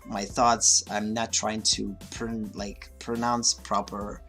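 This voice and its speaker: A man's voice: fairly low pitch, level tone, slow pace, bright, forceful tone, soft background music. A man whose first language is Russian, speaking English with a mixed, slightly Russian accent in a casual, hesitant livestream monologue full of fillers and restarts. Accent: mixed Russian-influenced. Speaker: livestream host.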